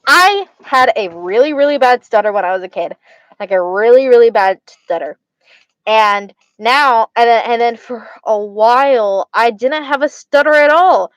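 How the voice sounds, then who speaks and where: A young woman with an Arizona accent chats loosely with her viewers, her words slurring together. She stutters and circles back over her own phrases. Her voice sits a little high and sounds dry and close. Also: animated, moderate pace, no room sound. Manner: stutters, slurs words, repeats herself. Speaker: livestreamer chatting with viewers. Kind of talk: casual chat.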